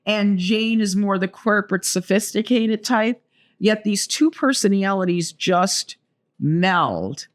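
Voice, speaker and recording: Lively, chatty woman in her seventies. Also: mid-range voice, slow pace. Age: 70s. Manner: chatty, animated storyteller with playful asides. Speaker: podcast co-host. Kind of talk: casual chat.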